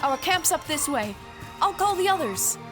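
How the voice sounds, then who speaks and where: Woman playing flying boy, cocky, breezy, bossy, highish voice, fantasy audio drama, music behind. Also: sing-song, normal speed. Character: cocky, playful flying boy, leader of lost children. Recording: music under the voice.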